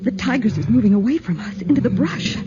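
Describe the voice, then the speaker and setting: A woman acting a sharp-tongued woman in peril in a 1940s radio crime drama. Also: a little high, expressive, fast talker, lightly acted. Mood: tense, wry. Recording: muffled, noisy 1940s radio recording.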